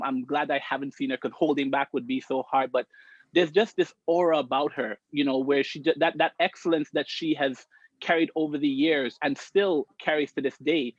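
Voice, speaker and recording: A man's voice: fairly high pitch, husky, no echo, muffled recording. A man born in Toronto and raised in the West Indies, speaking enthusiastically as a panelist on a video call and often saying "you know". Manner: warm, enthusiastic, with many fillers.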